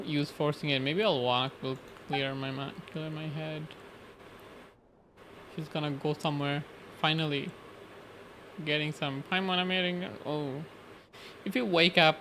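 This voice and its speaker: A man playing a game on stream, casually reading dialogue aloud and commenting, sometimes stumbling over the words. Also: fairly high pitch. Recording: noticeable background noise.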